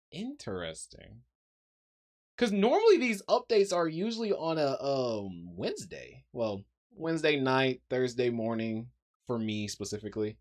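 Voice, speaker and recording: A man streaming live, thinking aloud in a rambling casual way with frequent ums. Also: mid-range voice. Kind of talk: casual livestream commentary.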